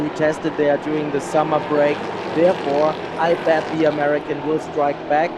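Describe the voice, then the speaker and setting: A man whose first language is German, speaking English as an eager co-commentator analyzing a stock car race. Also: a little high. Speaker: co-commentator, racing expert. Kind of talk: live motorsport commentary. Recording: race car noise behind. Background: racing engines.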